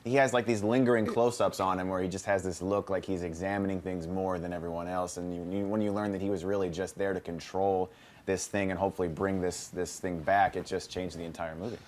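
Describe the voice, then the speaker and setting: A man's voice: low-pitched, flat delivery, brisk, darkish tone, slight background noise. A man explaining his film picks in a casual way as a panelist on a talk show.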